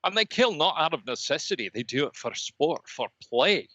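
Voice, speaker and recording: A man voicing an ominous, patient being of energy, speaking in a measured, solemn and portentous way on a comedy podcast. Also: on the high side, sing-song, sharp tone, no echo, highs cut off, full character voice. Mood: solemn, grave. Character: ominous, patient red-dot energy being, cryptic prophet.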